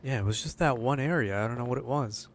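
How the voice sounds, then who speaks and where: A man's voice: mid-range voice, quick pace, slight background noise. A man streaming a horror game, grumbling casually and swearing, wary of what lies ahead. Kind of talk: casual livestream commentary.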